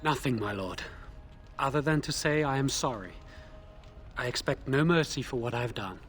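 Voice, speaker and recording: Game mage prisoner, played by a man: contrite, subdued, medium-pitched, faint noise behind. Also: lively intonation, normal speed, a bit bright, lightly acted. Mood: contrite, resigned. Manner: meek, subdued, deferential. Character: remorseful, resigned male mage prisoner. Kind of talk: video game dialogue acting.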